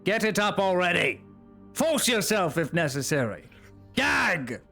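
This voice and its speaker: A man playing a cold, zealous imperial enforcer in a live roleplay, scornful and commanding, dropping to whispers and rising to shouts. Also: high voice, big pitch swings, a little slow, vocal fry, soft background music, heavily acted. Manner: swings between soft whispers and sudden shouts. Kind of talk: live roleplay in a virtual world.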